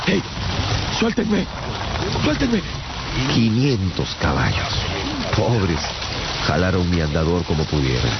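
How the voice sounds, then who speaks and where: A man's voice: deep voice, very noisy, full character voice. An actor playing a bemused shipwrecked traveller, telling his adventures in a theatrical, wry radio-drama narration. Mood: alarmed, then wry, recounting. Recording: dull lo-fi sound with music behind. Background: music.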